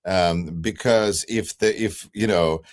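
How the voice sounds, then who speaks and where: A man's voice: low-pitched, slow. A man whose first language is Russian, talking politics casually on a livestream, hesitant with many fillers and restarts. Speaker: guest commentator. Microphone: remote livestream call.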